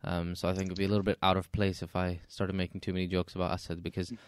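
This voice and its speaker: A man hosting a podcast interview, talking in a polite, measured way with light joking. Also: low-pitched, completely flat delivery, clean tone, no echo, clean studio sound. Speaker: podcast host. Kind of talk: casual interview chat.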